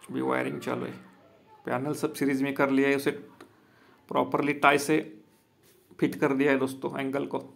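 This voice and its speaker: Installer showing off his work: a man, even-toned, rough and gravelly, outdoors with a phone mic. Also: medium pitch, moderate pace, long pauses. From Maharashtra, India. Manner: plain, repetitive, addresses viewers as friends. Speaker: solar installer and business owner. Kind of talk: walkthrough video commentary. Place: outdoor rooftop.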